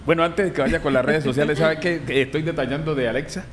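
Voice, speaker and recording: A man's voice: a little high, fairly even intonation, fast talker, slight background noise. A man co-hosting a radio interview in a jokey, casual way. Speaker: radio co-host.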